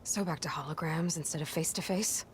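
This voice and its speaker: A woman's voice: low voice, fast talker, a little hiss or hum, close to an everyday voice. A woman playing a determined, sardonic young female hero in a video game, speaking in a dry, defiant manner. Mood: guarded, bitter. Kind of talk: video game cutscene acting.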